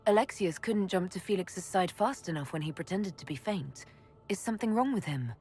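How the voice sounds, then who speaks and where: An actress playing a guarded, level-headed heroine in a video game, direct and questioning in manner. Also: female voice, on the low side, lively intonation, fairly quick, a little mellow, natural voice. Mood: wary, questioning. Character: composed, guarded heroine leading an inquisition. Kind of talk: video game character acting. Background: music.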